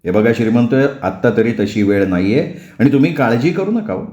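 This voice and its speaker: A man voicing a calm middle-aged village doctor who reassures worried people. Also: mid-range voice, fairly quick, roomy sound, muffled recording, close to an everyday voice. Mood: reassuring.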